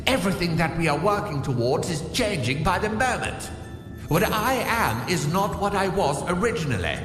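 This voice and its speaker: An actor playing a mysterious, earnest altered double in an audio drama, speaking cryptically. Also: male voice, sits high, big pitch swings, normal speed. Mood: earnest, cryptic. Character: mysterious, earnest altered version of another man. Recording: echoey hall reverb, music behind.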